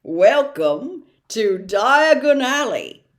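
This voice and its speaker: A woman's voice: on the high side, big pitch swings, very slow, voice pushed up, heavily acted. A woman voicing a huge friendly man who talks in a blunt, hearty, homely way.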